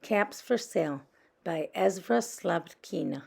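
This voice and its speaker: Female children's read-aloud host, unhurried and deliberate, mid-pitched with some vocal fry. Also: expressive, a little mellow, soft background music. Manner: deliberate, short measured phrases.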